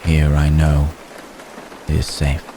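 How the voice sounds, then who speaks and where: An age-old vampire gentleman, played by a man, murmurs in an extremely deep voice over clearly audible rain. His delivery is flat and measured, with formal phrasing and long, gentle pauses. He sounds protective and world-weary, in the manner of an intimate romantic ASMR roleplay. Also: full character voice. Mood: tender, reassuring. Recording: steady rain noise behind voice. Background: rain falling.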